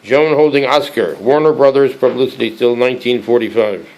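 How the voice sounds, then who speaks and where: A hoarse man with a cold hosting a casual show-and-tell, reading aloud from a book with many halting restarts and fillers. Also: mid-range voice, a little mellow, rough recording. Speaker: collectibles channel host.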